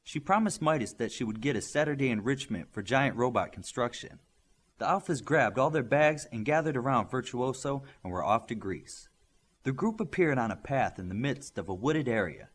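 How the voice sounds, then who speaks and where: A man's voice: mid-range voice, lively intonation, normal speed, slightly rough. A man reading scripted fiction as an audiobook narrator.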